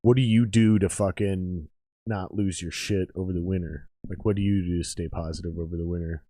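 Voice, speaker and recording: A man's voice: deep voice, steady tone, fairly quick, dark tone, no echo. A man in his thirties hosting a podcast, talking intensely and swearing freely. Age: 30s. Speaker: podcast host. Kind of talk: casual podcast chat.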